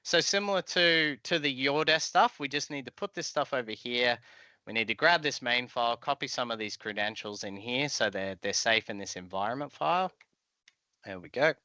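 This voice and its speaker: Bright, forceful man teaching casually. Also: mid-range voice, normal speed, no echo, dull audio. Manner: informal, explanatory. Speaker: software tutorial instructor. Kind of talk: casual live coding walkthrough. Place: indoor room, video call.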